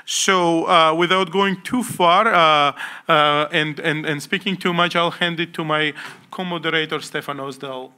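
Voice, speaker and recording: A man's voice: on the high side, fairly even intonation, a little slow, sharp tone, clear, resonant tone. A middle-aged man opening a conference panel as co-moderator, hesitant and full of fillers. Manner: hesitant, many fillers and restarts. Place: conference panel stage indoors.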